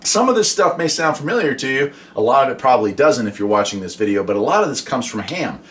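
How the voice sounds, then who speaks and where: A man's voice: medium pitch, sing-song, fast talker, a bit bright, a little hiss or hum. An American man hosting a casual explainer about his radio hobby, talking in a folksy, conversational way with rhetorical questions.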